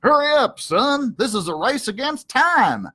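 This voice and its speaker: Man acting a bossy, self-important dog, raised pitch. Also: big pitch swings, unhurried, sharp tone, breathy edge, exaggerated voice. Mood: urgent, impatient. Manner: pompous, commanding. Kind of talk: children's storybook character voice.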